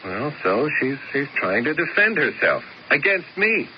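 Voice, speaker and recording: An actor playing an insecure, hot-tempered young husband in a radio drama, boyish, informal and halting. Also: male voice, on the high side, big pitch swings. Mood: agitated, explaining. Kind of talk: old-time radio drama acting. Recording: muffled 1970s radio broadcast, faint noise.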